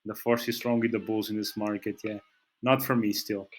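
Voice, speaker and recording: Portuguese guy going over stock charts, kinda low voice, lots of uhs and restarts. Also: little pitch movement, normal speed. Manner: hesitant, many fillers and restarts. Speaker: trading channel host. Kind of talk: screen-share market analysis.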